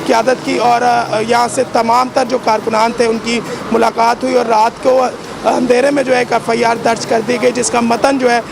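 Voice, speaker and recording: A man's voice: very high voice, level tone, moderate pace, creaky, few pauses. A man reporting live outdoors with a sore throat, rambling with many fillers. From Pakistan. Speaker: field reporter. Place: outdoors by a street. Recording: noisy outdoor street with traffic.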